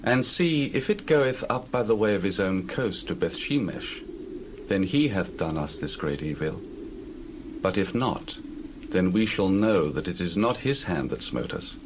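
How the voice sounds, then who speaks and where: An actor playing a solemn male priest who gives grave, measured counsel in archaic speech. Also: deep voice, animated, background noise.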